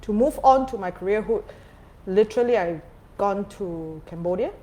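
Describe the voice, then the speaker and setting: A woman's voice: medium pitch, normal speed, rough recording. Joking Singaporean woman guest. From Malaysia. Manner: joking, animated storyteller. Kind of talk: casual interview.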